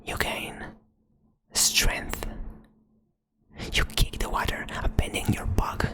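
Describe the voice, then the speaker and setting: Slow, softly whispering woman storyteller. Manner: soft whisper throughout, second-person telling. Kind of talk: whispered ASMR fantasy adventure narration.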